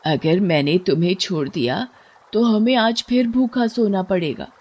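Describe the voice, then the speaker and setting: Woman playing a humble fisherwoman, soft-spoken and kind, husky tone. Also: medium pitch, normal speed, dull audio, lightly acted. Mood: earnest. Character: poor, kind-hearted fisherwoman wife. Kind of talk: cartoon story voice acting.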